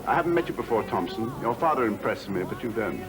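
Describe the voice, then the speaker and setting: An actor playing a middle-aged senior military officer in a drama, condescending and pompous, with deliberate, patronising phrasing. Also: male voice, lowish voice, very animated, over music. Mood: cold menace. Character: condescending senior military officer, falsely jovial, menacing man. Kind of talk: dramatic acting. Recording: clear background noise.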